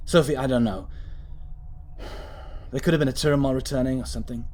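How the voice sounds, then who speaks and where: A man's voice: mid-range voice, fairly quick, a little mellow, lightly acted. A man playing an urgent, defiant time-travelling hero in an audio drama. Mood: tense.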